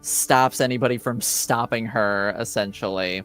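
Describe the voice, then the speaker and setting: A man acting as game master, talking through improvised tabletop roleplay in a deliberate, hedging way with his players. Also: lowish voice, a bit bright, soft background music.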